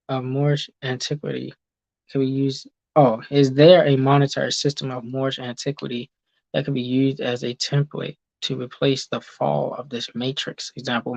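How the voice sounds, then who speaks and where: A man's voice: medium pitch, creaky, a little mellow, no echo, highs cut off. A man hosting a casual teaching talk, reading viewer comments aloud and answering them, with many ums and okays. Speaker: host reading live chat.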